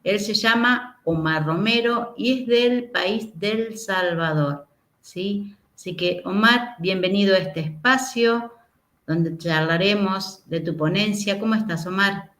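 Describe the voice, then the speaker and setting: A woman's voice: medium pitch, slow pace, a bit bright. A woman with a South American voseo Spanish accent, hosting an interview and putting questions to a guest. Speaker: interviewer, conference host. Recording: echoey room sound.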